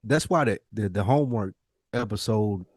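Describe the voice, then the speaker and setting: A man's voice: lowish voice, normal speed, no room sound. An American man co-hosting a podcast, talking casually and reflectively in an earnest, emphatic, colloquial way and repeating phrases as he builds his point. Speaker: podcast co-host.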